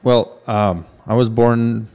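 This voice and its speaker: A man's voice: mid-range voice, fairly even intonation, takes it slowly, darkish tone, clean tone, lo-fi sound. A man from Armenia, a startup co-founder answering interview questions thoughtfully, with fillers and restarts.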